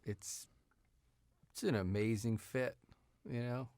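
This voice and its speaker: A man's voice: medium pitch, fairly even intonation, takes it slowly, a little mellow, no echo, clean studio sound. A man talking casually as a writer and actor being interviewed, in an informal, conversational way. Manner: informal, often checks with "you know". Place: sound studio.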